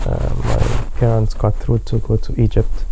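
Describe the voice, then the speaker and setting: A man's voice: lowish voice, monotone, moderate pace, gravelly, background noise. A young man from New York City, a guest in a casual interview, giving brief plain answers. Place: small indoor lounge with leather sofas.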